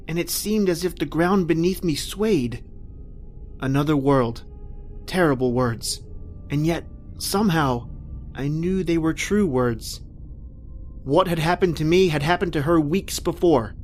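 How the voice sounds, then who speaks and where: An actor playing a distraught, disbelieving family man lost in the distant past, in a melodramatic, halting old-time radio horror style. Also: on the high side, soft background music, close to an everyday voice. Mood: haunted, grave. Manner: melodramatic, halting, repetitive phrasing. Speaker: actor and first-person narrator in a horror radio play.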